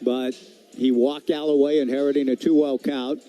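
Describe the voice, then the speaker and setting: Dark-toned man calling baseball on radio. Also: mid-range voice, level tone, moderate pace, faint music behind. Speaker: baseball play-by-play announcer. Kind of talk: live radio sports commentary.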